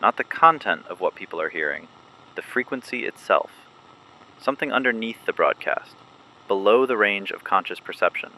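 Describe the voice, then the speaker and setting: A man playing a grave resistance informant in a scripted dystopian radio broadcast, speaking in clipped, deliberate, ominous phrases. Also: on the low side, expressive, bright, forceful tone, low-quality recording. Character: grave male resistance informant explaining a sinister secret process.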